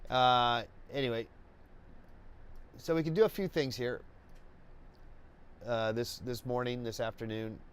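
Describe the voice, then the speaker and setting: A man hosting a live painting webcast, congested and stuffy, talking in a slow, rambling way with many restarts and fillers. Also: mid-range voice, steady tone, clear, resonant tone. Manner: halting, many restarts and fillers, laid-back. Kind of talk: rambling live-stream chat.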